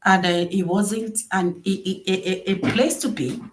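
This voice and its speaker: A soft-voiced middle-aged woman hosting a podcast, giving earnest solo advice on marriage and often repeating key phrases for emphasis. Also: mid-range voice, steady tone, a little slow. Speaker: podcast host.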